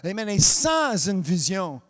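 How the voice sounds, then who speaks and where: High-voiced man preaching amid long reverb. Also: very animated, fairly bright tone. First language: English. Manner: peppers lines with amen and hallelujah, halting restarts, sometimes sing-song. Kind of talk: sermon. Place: church hall.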